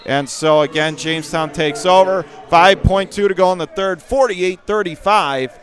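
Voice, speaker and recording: A man with a slightly high voice gives radio play-by-play of a high school basketball game from courtside. His calls come in short clipped bursts that climb in pitch and break into shouts on steals and drives. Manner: rapid clipped calls that rise sharply and get shouted on big plays.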